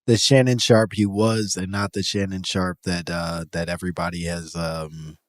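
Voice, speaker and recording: A man's voice: low voice, flat delivery, moderate pace, no echo. A man hosting a podcast in casual comedic chat, riffing with a joking, profane manner. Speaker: podcast host.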